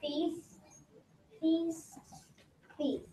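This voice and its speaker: A young girl reading scripted storybook narration aloud for a readers theater, a little halting, with stumbles and repeats. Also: extremely high pitch. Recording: echoey room, distant mic, background noise.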